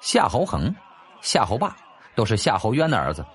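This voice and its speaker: A man narrating a historical novel as an audiobook in an expressive, dramatic storytelling style. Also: lowish voice, normal speed.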